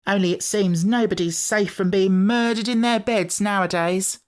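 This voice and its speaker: A woman's voice: mid-range voice, steady tone, normal speed, voice pushed up, natural voice. A woman voicing a gossipy, darkly self-important working-class housemaid in a storybook. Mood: ominous gossip. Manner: dramatic, rustic turns of phrase.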